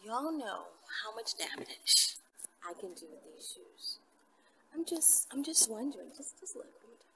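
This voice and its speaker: A woman chatting casually to her viewers in an animated, slangy, rambling way. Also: on the high side, moderate pace, gravelly. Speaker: video channel host. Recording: distant mic, loud vehicle-like background noise.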